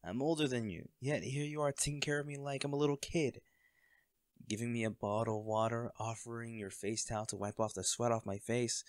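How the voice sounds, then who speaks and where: A man's voice: mid-range voice, fairly even intonation, fairly quick, no echo, clean studio sound, lightly acted. A man playing a cocky college volleyball captain. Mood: teasing. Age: young adult. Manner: laid-back, laughs often, swears casually. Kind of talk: audio roleplay to a listener.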